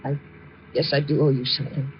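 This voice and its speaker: A woman's voice: a bass voice, little pitch movement, fairly quick. Casting: guarded, despairing young woman, tense and pleading, vintage radio drama, dull slightly noisy recording. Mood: wary, subdued. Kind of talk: 1950s radio drama acting.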